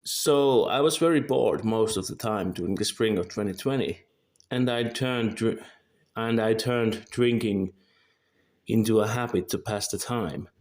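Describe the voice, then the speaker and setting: A man's voice: on the low side, a little slow, darkish tone. A young man in his early twenties from Finland, with a Finnish accent and Finnish as his first language, reading a scripted monologue carefully and correcting small slips as he goes. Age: early 20s. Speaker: video creator.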